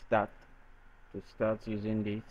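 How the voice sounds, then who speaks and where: A man presenting a software tutorial in a halting way, often repeating his phrases. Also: on the low side, completely flat delivery. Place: noisy room. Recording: dull lo-fi microphone sound.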